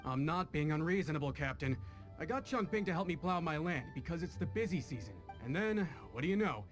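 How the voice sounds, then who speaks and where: High-voiced, hearty farmer played by a man. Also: expressive, fairly quick, faint music behind, close to an everyday voice. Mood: defensive. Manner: folksy, emphatic. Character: hearty, stubborn male farmer, folksy and talkative. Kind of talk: video game voice acting.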